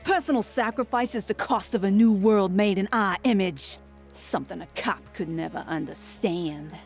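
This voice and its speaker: A woman's voice: mid-range voice, normal speed, faint music behind, natural voice. Woman playing a cold, defiant gang militant. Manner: defiant, contemptuous. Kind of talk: video game drama acting.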